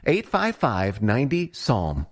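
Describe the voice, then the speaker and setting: A man's voice: mid-range voice, wildly swooping pitch, a little slow. A male announcer reading a scripted radio advertisement in a conversational, persuasive style.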